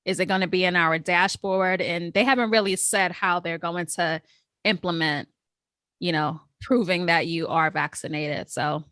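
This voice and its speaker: A woman's voice: lowish voice, steady tone, normal speed, a bit bright, no room sound, clean studio sound. A woman from the United States hosting a podcast, curious and giving frequent murmurs of agreement. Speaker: podcast host. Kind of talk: casual interview.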